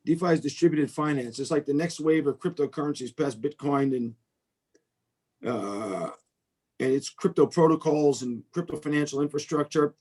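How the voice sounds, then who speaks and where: A man's voice: a little high, moderate pace, a little mellow. A middle-aged man with an American accent speaks informally and discursively as a guest on a video call, with some self-deprecation. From United States. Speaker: venture capitalist, guest speaker.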